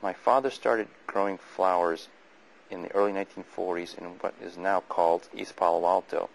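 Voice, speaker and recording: A man in his fifties from California, whose first language is Chinese, recalling his childhood in a measured, plain, reflective way. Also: deep voice, steady tone, creaky, poor audio. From Sunnyvale, California. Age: 50s. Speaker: oral history narrator. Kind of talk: reading a prepared memoir, then unscripted recollection.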